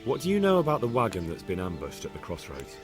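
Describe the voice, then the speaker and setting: A tough young guy leaning on people for answers, deep-ish and expressive. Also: fast talker, over music. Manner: direct, threatening when pushed. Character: blunt determined young man investigating an ambush. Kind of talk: video game voice acting.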